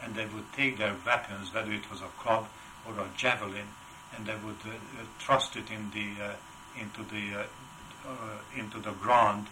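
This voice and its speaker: An older man with a heavy Hungarian accent, answering interview questions in a halting way with many filler sounds. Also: fairly low pitch, level tone, background noise. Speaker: wood carver, interview guest. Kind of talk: casual interview.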